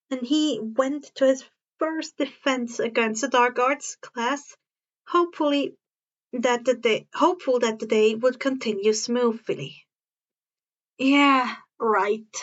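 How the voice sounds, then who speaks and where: A woman's voice: sits high, highs cut off. A woman reading a story aloud as an amateur narrator, sometimes stumbling and rereading phrases. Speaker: fan fiction narrator.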